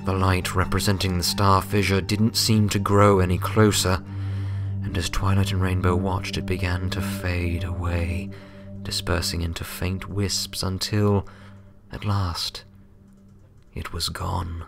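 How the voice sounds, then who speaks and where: A man's voice: low voice, flat delivery, measured pace, gravelly. A man reading a scripted story as an audiobook narrator. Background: music.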